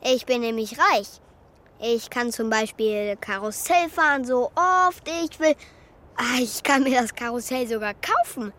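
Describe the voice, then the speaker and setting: A woman voicing a naive, eager wooden puppet boy in a children's radio play, with childlike, earnest excitement. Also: on the high side, a little slow. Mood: boastful.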